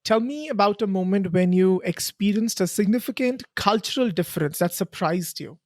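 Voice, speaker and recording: A podcast host who calmly asks short interview questions and gives brief backchannels. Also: mid-range voice, moderate pace, dry recording, studio quality. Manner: calm, brief prompts and soft backchannels.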